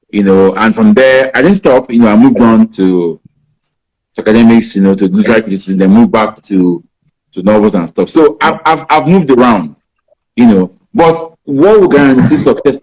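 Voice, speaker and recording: A Nigerian man in his fifties, a native Igbo speaker, answers interview questions about writing in a rambling way, filling pauses with "you know". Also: on the low side, animated, darkish tone, low-quality recording. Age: 50s. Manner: rambling, many "you know" fillers and restarts. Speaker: writer being interviewed.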